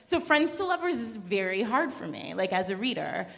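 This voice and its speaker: A woman's voice: mid-range voice, big pitch swings, moderate pace, vocal fry. A woman chatting casually and emphatically about books as a podcast co-host at a live event, gushing as she goes. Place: live event room with audience. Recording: echoey hall.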